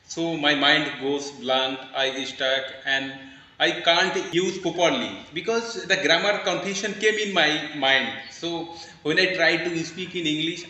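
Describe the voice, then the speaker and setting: Bright-voiced man in a very echoey room. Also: on the high side. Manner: earnest, advice-giving, with restarts. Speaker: English learner in conversation. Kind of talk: casual practice conversation.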